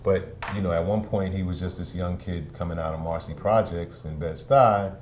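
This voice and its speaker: A middle-aged man with a New York accent, talking in a fairly low voice. He tells his stories in a loose, easygoing way and says "you know" a lot. A soft hum of room ambience sits behind him. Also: normal speed. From Brooklyn, New York. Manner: relaxed storytelling, many "you know" fillers. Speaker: music video show host and party promoter. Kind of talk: casual conversation.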